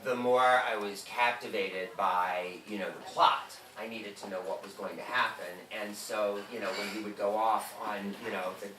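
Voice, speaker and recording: A man's voice: lowish voice, fairly even intonation, moderate pace, hardly any pauses. A man moderating a bookstore conversation, asking long rambling questions full of fillers. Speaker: event moderator. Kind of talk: conversational interview. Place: bookstore event room. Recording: echoey room, distant mic, background noise.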